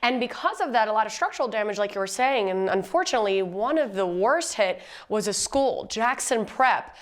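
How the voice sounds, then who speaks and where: A woman with a medium-pitched voice hosts a live news interview. She speaks conversationally, as a broadcast presenter would when guiding a guest. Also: animated, fairly quick.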